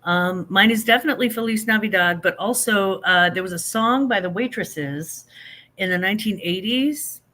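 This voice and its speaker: A woman's voice: mid-range voice, normal speed, sharp tone. An older woman, a grandmother, hosting a casual livestream, chatting in a rambling, self-deprecating way while answering viewers, a little stuffy from allergies. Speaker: livestream host.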